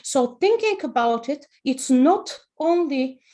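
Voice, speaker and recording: A woman's voice: sits high, lively intonation, takes it slowly, mellow. A woman in her fifties from Bulgaria with a Bulgarian accent, speaking passionately as a guest on an online panel discussion, over a video call, posing rhetorical questions. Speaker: panel guest, personal finance writer.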